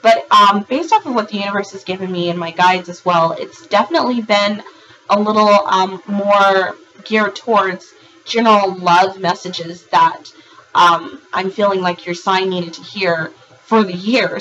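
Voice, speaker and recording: Raspy-voiced woman reading tarot. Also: medium pitch, a bit bright, lo-fi sound. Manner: meandering, with frequent ums and restarts. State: hoarse from a virus. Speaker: tarot reader.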